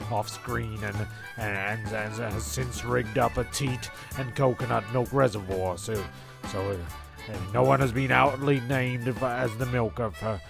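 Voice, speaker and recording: Gravelly man narrating over music. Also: mid-range voice, fairly even intonation, unhurried. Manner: stumbling, repeating phrases. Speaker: narrator and castaway in a comedy serial. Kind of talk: tongue-in-cheek scripted narration.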